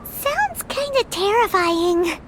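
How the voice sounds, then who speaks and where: A woman voicing a tiny, excitable childlike fairy companion in an anime video game, squeaky, wary and blunt. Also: takes it slowly, noisy background, exaggerated voice. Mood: nervous. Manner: exclamatory, speaks of self in third person. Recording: game music behind voice.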